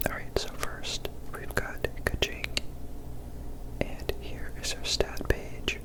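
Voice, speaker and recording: A gaming host whispering breathy ASMR commentary over gameplay, gentle and unhurried, close to the microphone.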